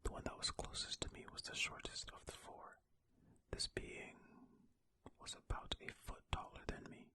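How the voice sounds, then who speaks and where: Bright, breathy whispering ASMR storyteller. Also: moderate pace. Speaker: host of an ASMR storytelling channel. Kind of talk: ear-to-ear whispered ASMR, reading a story aloud with casual commentary. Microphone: close stereo ASMR microphone.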